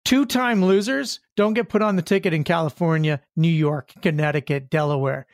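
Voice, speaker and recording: A man co-hosting a political panel chat, blunt and emphatic, repeating his verdicts flatly. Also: fairly high pitch, moderate pace, no room sound, clean studio sound. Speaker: co-host.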